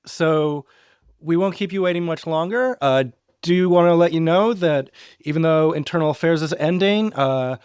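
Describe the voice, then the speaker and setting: A man's voice: a little high, moderate pace, darkish tone, smooth, no room sound, clean studio sound. A man casually introducing an episode as a podcast host, talking off the cuff. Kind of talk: casual scripted-free intro chat.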